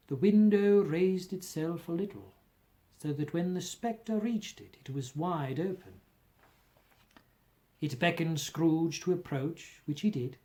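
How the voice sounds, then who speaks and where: Unhurried, higher-voiced man narrating a story. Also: a little mellow. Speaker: amateur audiobook reader. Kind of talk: expressive scripted story narration.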